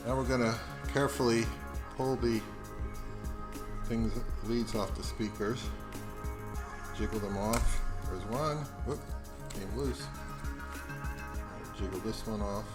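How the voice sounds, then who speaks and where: A man hosting a video, explaining things casually in an unhurried, self-deprecating way. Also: lowish voice, loud music behind the voice. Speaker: video host.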